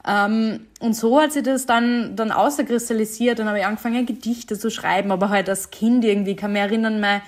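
A woman's voice: on the high side, steady tone, fairly quick, few pauses. A young woman, a singer-songwriter answering interview questions in a chatty way, with many fillers and frequent laughs.

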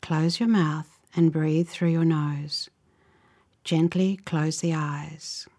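Breathy, slow-paced woman guiding relaxation. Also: low-pitched, steady tone. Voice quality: soft, breathy, often near a whisper. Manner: soothing, gentle, measured delivery with short phrases and pauses. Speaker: guided meditation instructor. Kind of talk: guided relaxation meditation, calm step-by-step instructions.

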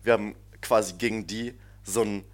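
Rambling young man, low voice. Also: fairly even intonation, moderate pace. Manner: rambling, laughs often, slangy. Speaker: podcast co-host. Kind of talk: casual chat. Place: shared flat abroad.

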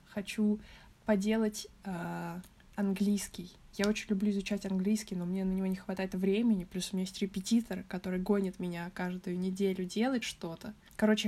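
A young woman talking casually as a podcast guest, relaxed and chatty. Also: mid-range voice. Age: young adult. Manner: chatty, relaxed, laughs easily. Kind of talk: casual chat.

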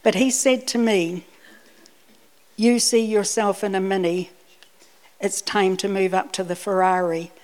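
A woman's voice: mid-range voice, fairly even intonation, measured pace, pauses often, a little mellow. New woman preaching conversationally. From Scotland, raised in New Zealand. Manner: conversational, repeats words when restarting. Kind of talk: personal sermon with anecdotes.

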